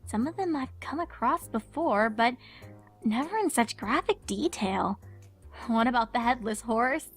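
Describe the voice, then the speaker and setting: Woman as young female unicorn, studious, earnest, slightly gruff, higher pitch, cartoon story acting, soft music behind. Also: lively intonation, unhurried. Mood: apologetic, sincere. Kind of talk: cartoon character acting in a fan fiction audio reading.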